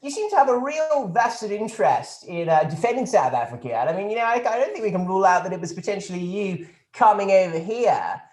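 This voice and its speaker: A man's voice: sits high, animated, brisk, hardly any pauses, darkish tone, echoey room. A man playing a pompous, flippant posh government minister who rambles and stumbles over a video call. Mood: smug. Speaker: politician taking questions. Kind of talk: satirical press conference sketch.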